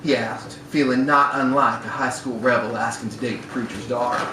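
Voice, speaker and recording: A man's voice: medium pitch, steady tone, moderate pace. A man giving a wry live reading of a literary short story, performed as a storyteller. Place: bar room at a live event. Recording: echoey, noisy live room, distant mic.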